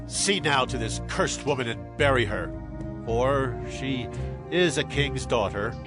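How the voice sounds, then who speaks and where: An actor playing a commanding, ruthless warrior who has seized the throne, declaiming forcefully in a dramatized Bible production. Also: male voice, mid-range voice, expressive, measured pace, close to an everyday voice. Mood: grim, solemn. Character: commanding, ruthless warrior usurper and zealous new king. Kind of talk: dramatized Bible audio acting. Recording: background music under the voice.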